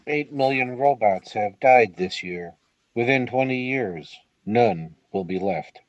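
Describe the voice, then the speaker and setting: A male actor playing a grave robot delegate in a radio drama, speaking solemnly and deliberately. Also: mid-range voice, lively intonation, dry recording, lo-fi sound. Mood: ominous. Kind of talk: old-time radio drama acting.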